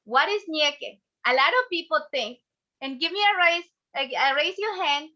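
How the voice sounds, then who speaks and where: Bright, high-voiced Ecuadorian-accented woman presenting. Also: lively intonation, moderate pace. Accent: Ecuadorian Spanish. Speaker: speaker, business coach and class host. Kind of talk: motivational online class presentation. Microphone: video call. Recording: dull, lo-fi online call audio.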